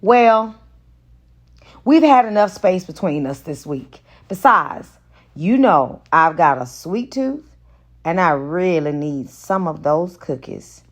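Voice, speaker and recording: A woman's voice: on the low side, lively intonation, slow pace. A woman narrator voicing a smitten, conciliatory man courting someone in an audiobook romance. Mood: flirtatious.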